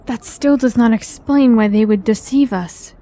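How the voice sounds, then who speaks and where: An actress playing an anxious, questioning traveler in a fantasy radio drama, with formal, earnest phrasing. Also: female voice, fairly high pitch, moderate pace, warm tone, lightly acted. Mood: troubled, questioning.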